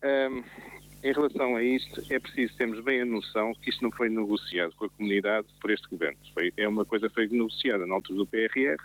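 A man calls a radio forum and sets out his view in an argumentative, measured way. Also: on the low side, fairly even intonation, low-quality recording. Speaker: radio caller. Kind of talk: call-in opinion.

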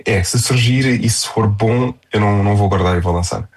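A man's voice: lowish voice, a little fast. A young man with a European Portuguese accent, chatting casually as a singer-songwriter in an interview.

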